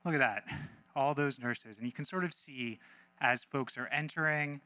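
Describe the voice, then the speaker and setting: A man giving a casual live software demo as a team presenter, informal and breezy with wry asides. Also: medium pitch, moderate pace.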